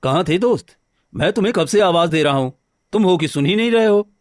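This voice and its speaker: Homely cartoon woodcutter, male actor, eager and reassuring, slightly high pitch, very dry sound. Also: expressive, fairly quick, no echo. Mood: friendly. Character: good-natured, eager married woodcutter, a simple villager. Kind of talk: Hindi cartoon story dialogue.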